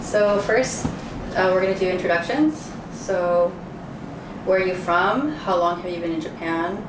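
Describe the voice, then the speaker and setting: A woman hosts and moderates casually in a slightly low voice. Her voice is echoey, with clear noise in the background. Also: measured pace, distant mic. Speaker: host and interviewer. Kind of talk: casual moderated group interview.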